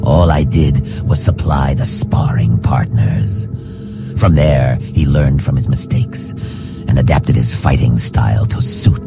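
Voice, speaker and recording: An extremely low, gravelly voice for a proud lizardfolk assassin trainer in a fantasy game. He speaks slowly and formally, with stern, hardened authority. Loud music plays behind him. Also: heavily acted. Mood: reflective, measured. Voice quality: gravelly, growling. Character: hard, proud male Argonian reptilian assassin combat trainer. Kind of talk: fantasy game character dialogue.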